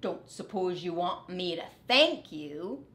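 A woman's voice: fairly low pitch, animated, slow pace, a bit bright. A woman voicing a grouchy, reluctant junkyard dog in a storybook, curt and grudging.

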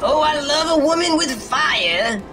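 A lecherous sci-fi audio drama lout, acted by a man, leers cheekily in British slang. His pitch swoops about and he takes his time, with music behind him. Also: animated, unhurried. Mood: leering, lustful. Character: lecherous, cheeky male lout in a spaceport brothel.

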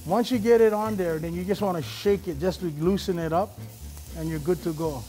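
A man's voice: high voice, a little fast, dark tone. A middle-aged man hosting as chef, giving a casual, encouraging cooking demonstration in a kitchen. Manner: instructing, encouraging. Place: studio show kitchen. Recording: music behind, sizzling pan.

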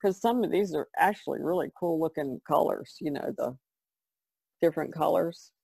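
A woman's voice: low voice, moderate pace, gravelly, mellow, slightly rough, no echo. A woman artist chatting casually as a podcast regular, explaining things informally. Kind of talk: casual chat, explaining art materials.

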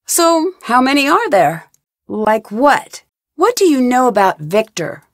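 A woman's voice: sits high, sing-song, slow, no room sound, clean studio sound, exaggerated voice. An actress playing a young female detective in a video game, asking polite, pointed, probing questions with composure. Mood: inquisitive.

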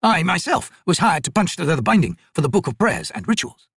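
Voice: medium pitch, very animated, gravelly, fairly bright tone, husky, no room sound, clean studio sound, pitched above the performer's own voice, heavily acted. A narrator playing a meek, nervous old male trader in an audiobook, hesitant and fussily formal. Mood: meek, earnest. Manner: slow, hesitant, formal and fussy.

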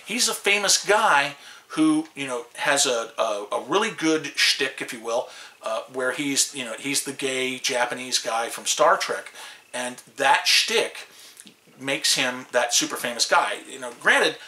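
Chicago guy, 50s, rambling vlog talk, lots of ums and restarts, bright voice. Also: mid-range voice, lively intonation, unhurried, roomy sound. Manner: rambling, many restarts and fillers, talks with his hands. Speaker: vlogger. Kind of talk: casual talk to camera. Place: small room in an RV.

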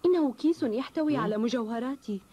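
Woman acting a high, husky young peasant wife. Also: fast talker, warm tone, close to an everyday voice. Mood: caring, earnest. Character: dutiful, firm young peasant wife and mother. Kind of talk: cartoon dub acting.

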